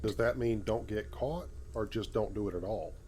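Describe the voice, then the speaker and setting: Courteous spacefaring recruit, male amateur actor: lower voice, articulate wry game dialogue, noisy background. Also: moderate pace, darkish tone, close to an everyday voice. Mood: wry, probing. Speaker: gamer voicing his own player character. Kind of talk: amateur voice-over for game playthrough. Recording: home voice-over with clear background noise.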